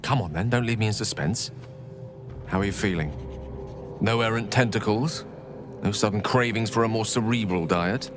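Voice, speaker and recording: Male actor as courteous game wizard: lowish voice, eloquent, playful, refined diction. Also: moderate pace, pauses often, over music, close to an everyday voice. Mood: playful curiosity. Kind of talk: fantasy video game acting.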